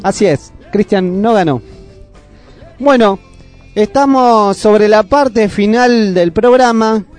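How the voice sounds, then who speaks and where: A man's voice: sits high, slow, darkish tone, faint music behind. An Argentine man co-hosting a community radio show in a casual presenting style. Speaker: radio co-host.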